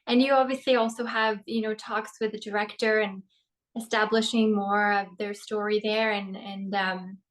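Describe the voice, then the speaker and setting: Measured actress, flat tone. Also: female voice, mid-range voice. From Norway. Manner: thoughtful and measured, with frequent ums. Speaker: actress, interview guest. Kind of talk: casual interview answers.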